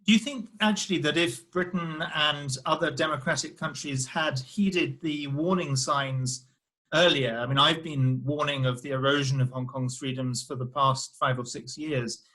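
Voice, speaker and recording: A man hosting a formal conversational interview, speaking in measured, courteous phrases. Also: on the high side, sharp tone, rough recording. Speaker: interview host.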